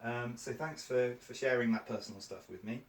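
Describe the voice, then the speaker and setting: A man's voice: mid-range voice, fairly quick. A man from Cornwall, leading a small training session in an upbeat, encouraging way. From Cornwall, England. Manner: upbeat and encouraging, frequent fillers. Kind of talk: friendly training-session facilitation. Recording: echoey room, mic at a distance.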